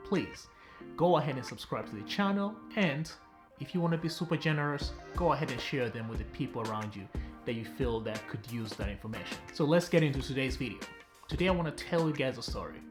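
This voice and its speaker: A young man from Tanzania, a relationship coach, telling viewers a personal story in a direct, chatty vlog style. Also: medium pitch.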